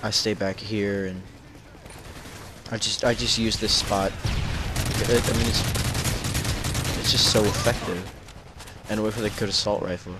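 Hoarse teen gamer, low-pitched, restarts often, casual commentary over loud gunfire. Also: male voice, steady tone, a little slow, pauses often, heavy background noise. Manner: rambling, self-correcting, with frequent restarts. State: hoarse and sick. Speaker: gameplay commentator. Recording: loud gunfire from the game behind.

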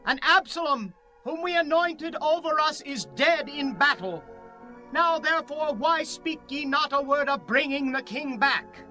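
A man's voice: very high-pitched, unhurried, bright, forceful tone, faint music behind, heavily acted. A man playing an earnest subject of a king in a scripture audio drama, speaking archaic declamatory lines. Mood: earnest urging.

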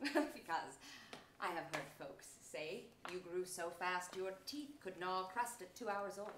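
A woman's voice: on the low side, unhurried, fairly bright tone. A woman playing a precocious, cheeky young boy prince in a theatrical Shakespearean staged reading. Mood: teasing. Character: cheeky, precocious little boy prince, teasing his uncle. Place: theatre stage before an audience. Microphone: far camera. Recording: echoey theatre stage with noise.

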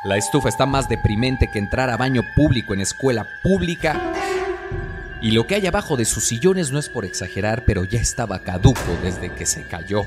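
A Mexican man hosts a comedy podcast in a slangy, conversational way. His pitch is mid-range and lively, with music clearly playing behind him. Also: brisk. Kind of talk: conversational humorous monologue with slang.

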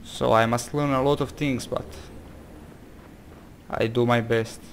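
A man's voice: mid-range voice, steady tone, measured pace, slight background noise. A man from Croatia with a Croatian accent, casually commenting on a game, hesitant and exclamatory, reading its text aloud. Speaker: gameplay commentator. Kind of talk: casual let's-play commentary.

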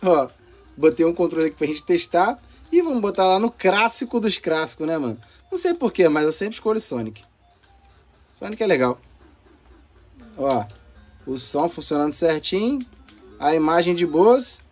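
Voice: medium pitch, big pitch swings, normal speed, pauses often, darkish tone, faint music behind. A vlog host with a Brazilian accent and an informal, playful manner, casually talking viewers through hands-on testing of game consoles in slangy speech. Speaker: host of a gaming video channel.

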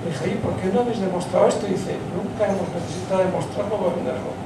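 Man in his 50s, Spanish accent, high voice, blunt and emphatic, very echoey room, heard from far off. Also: steady tone, racing. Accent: Spain. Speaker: audience member, doctor. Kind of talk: impassioned audience comment. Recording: echoey pub from far off, noisy.

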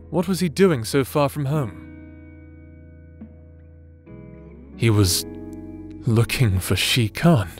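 A man's voice: medium pitch, close to an everyday voice. A man playing a doting, theatrical necromancer husband in a romantic ASMR audio drama, teasing and formal in his diction. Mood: musing. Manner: teasing, slow, formal old-fashioned diction. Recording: soft instrumental music bed behind voice.

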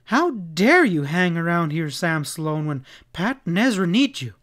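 Man voicing a high-pitched, forceful frontier woman. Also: moderate pace, natural voice. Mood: angry, commanding. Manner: demanding, emphatic questioning.

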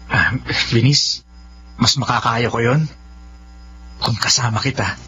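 A man playing a devoted, earnest young suitor in a Filipino radio romance, heartfelt and halting. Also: mid-range voice, pushed and bright, slight background noise. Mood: earnest confessing. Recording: muffled radio drama audio with music.

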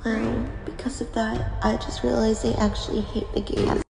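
Woman as a snarky, creaky-voiced cartoon girl. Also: on the high side, flat delivery, moderate pace, music in the background. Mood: scornful. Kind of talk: parody fan dub of a cartoon. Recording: echoey room sound.

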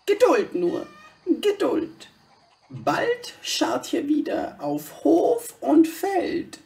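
A woman voicing a tiny ancient gnome in a children's audio play, gently murmuring with patient, whispery slowness. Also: sits high, huge pitch swings, creaky, recorded from a distance, exaggerated voice. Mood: gentle, soothing. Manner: slow, soothing murmur.

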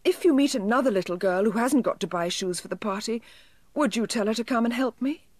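A woman's voice: a little high, brisk, dry recording, pitched above the performer's own voice, lightly acted. A woman with a British accent voicing a tiny, polite, pleading male elf in a children's storybook. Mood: tearful.

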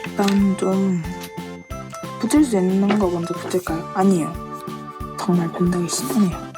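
A woman with a cold, her voice a little rough, chatting casually as she works on a paper craft project and thinking aloud. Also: mid-range voice, steady tone, normal speed, music in the background. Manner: chatty, thinks aloud, asks herself questions, soft asides. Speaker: stationery craft vlogger. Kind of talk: casual narration while crafting.

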